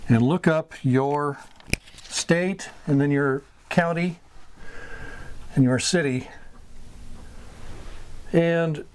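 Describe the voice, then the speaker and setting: A man hosting an informal hobby lesson, explaining step by step in a halting way and repeating words as he goes. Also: fairly high pitch, slight background noise. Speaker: radio hobbyist host giving beginner advice. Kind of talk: informal instructional talk.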